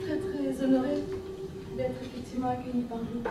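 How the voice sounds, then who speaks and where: A woman from France leading a workshop, speaking in a gentle, lyrical way with many repeated phrases, in a French accent. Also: high-pitched, fairly even intonation, normal speed, heavy background noise. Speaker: workshop leader. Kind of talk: guided women's workshop talk. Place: workshop room at a festival. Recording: very echoey room, from far off, music behind.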